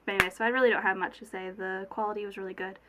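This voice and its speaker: A woman's voice: mid-range voice, fairly even intonation, rapid-fire, background noise. A young woman with a cold, her voice a little off, chatting casually through a beauty unboxing, rambling with fillers and self-corrections. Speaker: beauty vlogger. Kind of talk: casual product unboxing chat.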